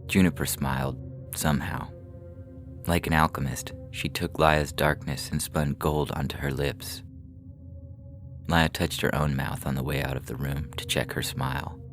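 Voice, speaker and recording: A man with an extremely low voice narrates a short story in a measured, dramatic way. Music plays behind his literary reading. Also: steady tone, vocal fry, fairly bright tone.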